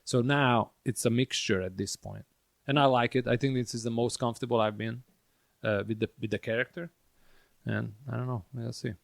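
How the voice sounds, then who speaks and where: This is a man with a Hungarian accent in relaxed podcast conversation. His voice sits at an average pitch, and the sound is dry and close. He talks like a comedian, with blunt, dry humor and the odd swear word. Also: normal speed, vocal fry, no room sound. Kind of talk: casual chat.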